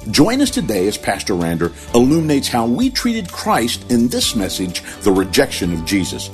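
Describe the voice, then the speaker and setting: A male announcer reading a scripted introduction to a sermon broadcast in a smooth, inviting promo delivery. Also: medium pitch, huge pitch swings, moderate pace. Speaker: program announcer. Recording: voice over background music.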